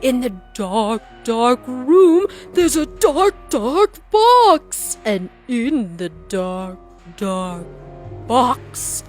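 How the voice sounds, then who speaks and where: A woman's voice: fairly high pitch, sing-song, drawn-out words, faint music behind, full character voice. A woman voicing a female schoolteacher with a theatrical, suspenseful way of addressing her class, in a storybook reading. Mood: eerie suspense. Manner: hushed, suspenseful, repetitive build-up. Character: female schoolteacher telling a spooky ghost story.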